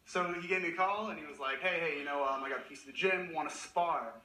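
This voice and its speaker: A man's voice: fairly high pitch, bright, forceful tone, distant mic. A man testifying as plaintiff in a TV courtroom, defensive in his account. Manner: quick, defensive. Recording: echoey courtroom.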